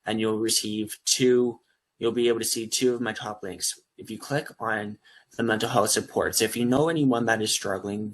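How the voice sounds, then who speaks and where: A husky, low-voiced young man speaks earnestly as a guest over a video call. He rambles and restarts his sentences while urging people to reach out for support. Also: fairly bright tone. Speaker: guest, life coach. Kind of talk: earnest promotional talk.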